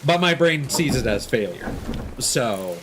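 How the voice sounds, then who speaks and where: A man's voice: mid-range voice, sing-song, unhurried, background noise. A man live streaming a game, casually chatting and thinking aloud in a reflective, rambling way. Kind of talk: casual chat with gameplay commentary.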